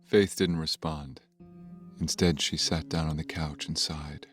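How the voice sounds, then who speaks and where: An actor as a grave storyteller, delivering scripted dramatic narration for an audio drama. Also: male voice, very deep voice, fairly even intonation, measured pace, soft background music. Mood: somber.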